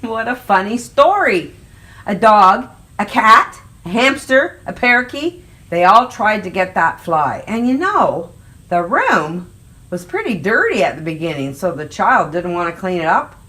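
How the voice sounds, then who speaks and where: A woman hosting story time, reading a picture book aloud to young children with deliberate, dramatic emphasis on key words. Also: mid-range voice, very animated, sharp tone. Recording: roomy, echoey indoor sound.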